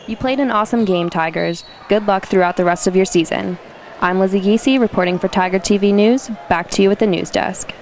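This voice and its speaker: A teenage girl reading a school sports report in a steady, scripted way. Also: medium pitch, a little fast, faint music behind. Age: teens.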